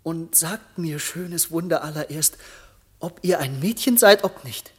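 An actor playing an awestruck, grieving young shipwrecked prince, declaiming reverent lofty verse in a classic radio drama. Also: male voice, fairly high pitch, unhurried, husky, long reverb tail.

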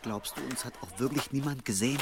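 An actor playing a pious, conscience-stricken young monk, earnest and anxious, in a German radio drama. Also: male voice, mid-range voice, fast, noisy background, close to an everyday voice. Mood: guilty, solemn.

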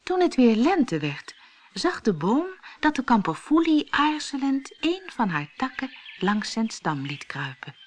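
Slow, expressive woman storyteller. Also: on the high side. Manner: expressive, deliberate. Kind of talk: scripted children's fairy-tale narration.

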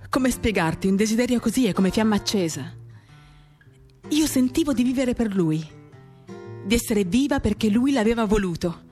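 A woman's voice: a little high, moderate pace. Woman reading literary drama over guitar music. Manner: expressive, theatrical, shifting from animated to hushed. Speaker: reader performing a literary radio reading. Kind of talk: dramatic first-person literary narration. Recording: acoustic guitar accompaniment.

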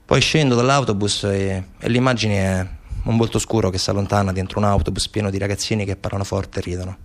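A man giving a theatrical monologue as a stage narrator, measured, with deliberate pauses and repetitions. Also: lowish voice, steady tone. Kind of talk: theatrical monologue, storytelling and recitation. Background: instrumental music.

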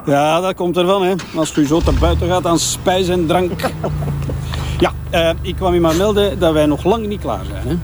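An actor playing a smug, needling police investigator in a Flemish radio drama, with an offhand, mocking manner. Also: male voice, fairly high pitch, moderate pace, lightly acted. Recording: clearly noisy outdoor ambience.

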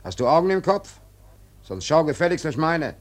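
A stern, anxious ship's first mate in a radio drama. His urgent, sharp-tongued delivery swings widely between low muttering and high cries. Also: sing-song, normal speed. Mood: urgent, bitter. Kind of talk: German radio play acting.

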